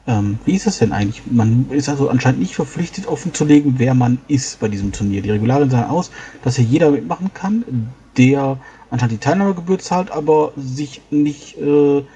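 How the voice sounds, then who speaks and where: A man's voice: mid-range voice, lively intonation, brisk, few pauses, warm tone, slightly rough. A man playing a tabletop role-playing game, reasoning aloud in character with fillers. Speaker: player.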